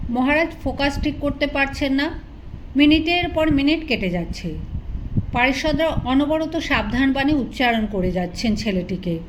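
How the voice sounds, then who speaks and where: A woman reads a literary story aloud. She works as an audiobook narrator, telling the tale in prose. The recording carries clear noise behind her. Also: sits high, expressive, moderate pace, poor audio.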